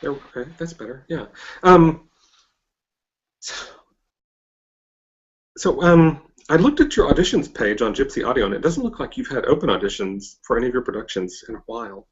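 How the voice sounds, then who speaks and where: An interviewer with an androgynous voice, hosting a casual chat and asking questions haltingly. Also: mid-range voice, expressive. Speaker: interviewer, host. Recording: dull, lo-fi internet call.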